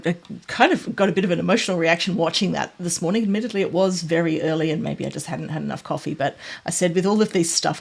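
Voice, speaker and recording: A woman with a low, slightly husky voice and a gravelly edge talks through tech announcements. She is informal and chatty, and sounds keen on what she is showing. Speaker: tech presenter recapping announcements. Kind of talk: casual explainer commentary with demos.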